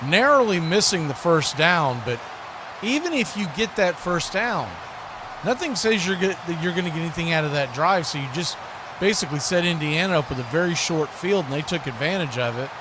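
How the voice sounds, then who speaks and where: A man with a high voice delivers live football commentary as a streaming host. His manner is excitable and conversational, moving between calling plays and chatting. Clear background noise runs behind him. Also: a little fast. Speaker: sports play-by-play host.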